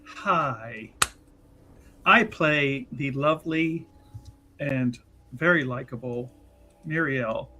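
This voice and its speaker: A man casually recapping a tabletop game as a player, halting and wryly understated. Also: mid-range voice, sharp tone, soft background music. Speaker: player on an actual play show. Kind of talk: casual recap and character introduction.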